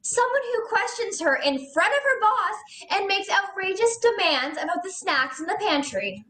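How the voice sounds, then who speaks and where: Teenage girl as theatrical diva actress, very high voice, indignant, argumentative, outspoken, comedy play on video call. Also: big pitch swings, moderate pace, a bit bright, a little hiss or hum, heavily acted. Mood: scornful, defiant. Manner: declamatory, argumentative. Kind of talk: stage comedy acting over video call.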